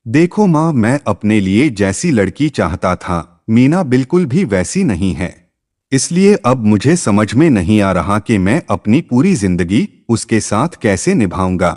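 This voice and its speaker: A man's voice: lowish voice, sing-song, a little slow, a little mellow. A man voicing a dissatisfied, stubborn young groom in emphatic dialogue from a narrated moral story. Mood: upset, regretful.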